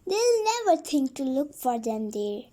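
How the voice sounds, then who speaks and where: A woman's voice: sits high, big pitch swings, measured pace, dark tone. A woman voicing a mischievous, cocky young boy who schemes and bosses in a children's storybook. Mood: scheming.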